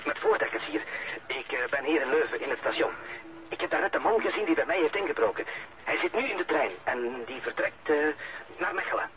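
An actor playing an anxious, impatient crime victim in a radio drama, hesitant and agitated. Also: male voice, medium pitch, animated, fairly quick, natural voice. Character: anxious, impatient male burglary victim reporting to police. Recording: noisy lo-fi old radio play recording.

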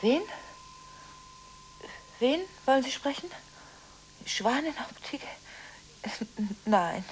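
An actress playing a distraught, contradictory woman in a radio drama, talking on the phone in a halting, agitated way with repeated words and broken-off phrases. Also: high voice, lively intonation, background noise, exaggerated voice. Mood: nervous, chatty. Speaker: lead actress in a radio play. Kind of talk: 1980s German radio drama acting, telephone monologue.

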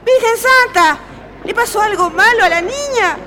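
A woman's voice: very high-pitched, animated, moderate pace, bright, forceful tone, exaggerated voice. An actress playing a bossy, protective mother in a radio play, with a Chilean accent and a scolding, exclaiming way of talking. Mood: alarmed. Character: bossy, protective, excitable small-town mother. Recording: street and crowd noise behind.

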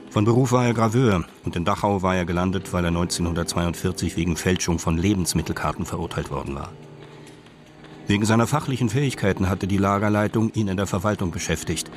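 An actor as the detached narrator of a radio crime drama, telling it in a measured, matter-of-fact way. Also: male voice, deep voice, close to an everyday voice. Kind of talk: scripted crime story narration.

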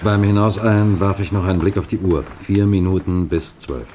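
A man playing an authoritative middle-aged police detective, recounting his case in a measured, emphatic way in a vintage radio crime drama. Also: low-pitched, fairly even intonation, background noise, lightly acted. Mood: tense narration. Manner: measured, deliberate, emphatic storytelling. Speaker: narrator and lead actor.

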